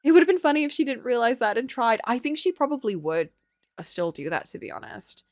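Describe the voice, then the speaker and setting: A woman's voice: fairly high pitch, lively intonation, fast talker, dry recording, clean studio sound. A woman livestreamer reading a visual novel aloud, moving between story narration and casual commentary.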